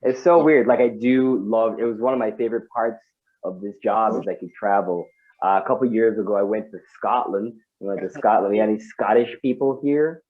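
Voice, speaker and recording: A man's voice: on the low side, fairly quick, phone-quality sound. Guy from guyana doing stand-up on a video call, chatty, keeps talking to the crowd. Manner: chatty, animated, many asides to the audience. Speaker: stand-up comedian. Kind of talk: stand-up comedy set.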